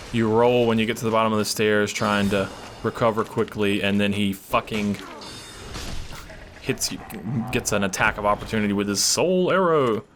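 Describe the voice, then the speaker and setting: A man's voice: on the low side, vocal fry, fairly bright tone, slight background noise. A man casually commenting while he plays a video game, rambling and swearing, losing his voice and coughing. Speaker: gaming channel host. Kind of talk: casual let's play commentary.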